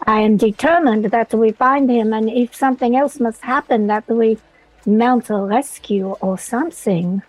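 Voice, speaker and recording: A woman acts out a refined lady adventurer for a tabletop game. Her voice sits a little high and close, with an affected foreign accent. She phrases everything formally and with resolve. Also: a little slow, darkish tone, no echo, poor audio. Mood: determined, concerned. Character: refined, determined woman adventurer with a mercantile streak. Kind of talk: in-character tabletop roleplay.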